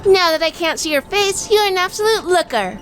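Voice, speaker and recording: A woman playing a sardonic, quick-witted female detective in a hardboiled noir audio drama, narrating and talking with wry humor. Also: very high voice, lively intonation, a bit bright, slight background noise. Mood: brisk, snappy. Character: sardonic quick-witted 1940s female gumshoe, weary wife.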